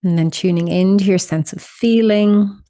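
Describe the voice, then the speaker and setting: A woman leading a guided meditation in a soothing, instructional manner with deliberate pauses. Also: medium pitch, mellow, no echo, studio quality.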